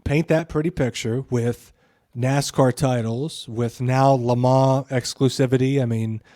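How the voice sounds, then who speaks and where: A man's voice: mid-range voice, steady tone, unhurried, mellow, no room sound, studio quality. A man co-hosting a podcast with casual, opinionated commentary, emphatic, repeating phrases for stress and swearing freely. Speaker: podcast co-host.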